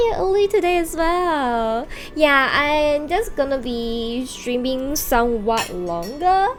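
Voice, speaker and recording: A woman livestreamer chatting casually and playfully with viewers, using gamer slang and the odd swear word, often thinking aloud. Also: fairly high pitch. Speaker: live game streamer. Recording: music behind the voice. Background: video game soundtrack.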